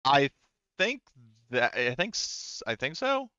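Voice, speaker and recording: A man's voice: medium pitch, sing-song, slow pace, pushed and bright, clear, resonant tone, no room sound, muffled recording. A man talking casually as game master of a tabletop game, narrating and going over rules in an informal, sweary way.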